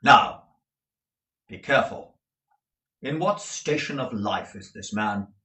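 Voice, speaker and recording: A man voicing a stern, blustering middle-aged male lawyer who talks in a booming, domineering way. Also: animated, slow pace, bright, forceful tone, full character voice. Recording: roomy audio from a distant mic.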